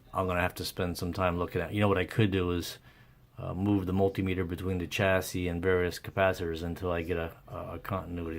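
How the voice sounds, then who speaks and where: A man talking casually and without a script through a repair as host, haltingly thinking aloud. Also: sits low. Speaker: host of a radio repair video. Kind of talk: casual unscripted repair commentary.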